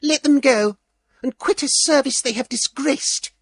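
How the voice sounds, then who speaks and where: A man playing a young princess, scornful, sarcastic, high, dry close sound. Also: a little slow, no room sound. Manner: cutting, haughty.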